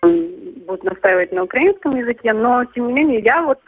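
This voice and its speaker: Give me a high-voiced woman from Ukraine, speaking haltingly with lots of fillers and restarts. Her voice comes through a thin, tinny phone line. The sound is dry, with no room around it. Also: expressive, slightly rough, no echo, faint music behind. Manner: hesitant, many fillers and restarts. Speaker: interview guest. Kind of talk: casual conversational answers.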